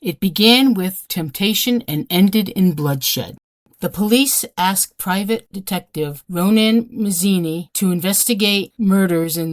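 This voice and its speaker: Woman doing scripted ad narration, lowish voice, very dry close mic. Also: no room sound. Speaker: announcer. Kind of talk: scripted book promo narration. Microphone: close dry studio voice-over.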